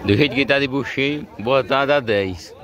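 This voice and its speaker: A man's voice: mid-range voice, normal speed, a crowd in the background. A man with a Brazilian Northeastern accent chatting casually and colloquially as a vlogger, asking short questions and repeating answers. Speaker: vlogger and interviewer at a cattle fair. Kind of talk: casual chat, asking sellers prices. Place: outdoor cattle fair among wooden pens. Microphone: handheld phone camera. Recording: noisy outdoor cattle market.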